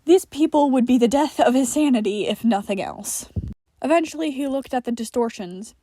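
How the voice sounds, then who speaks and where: A woman narrates a piece of fiction from a script. Her voice sits fairly high, and the recording is dry and close with no room sound. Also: expressive, moderate pace, mellow, dry recording. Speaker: podfic narrator.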